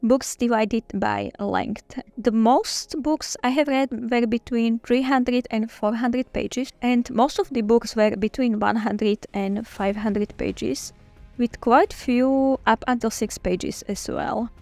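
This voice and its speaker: Gravelly-voiced woman. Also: a little high, slow, a little mellow, no echo, soft background music. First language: Slovak. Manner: chatty, enthusiastic, with small restarts. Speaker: book vlogger. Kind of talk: casual reading-journal flip-through.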